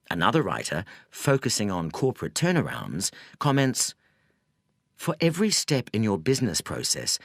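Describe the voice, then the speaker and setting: Low-voiced, lively male audiobook narrator. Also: dry recording, studio quality. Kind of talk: scripted nonfiction narration.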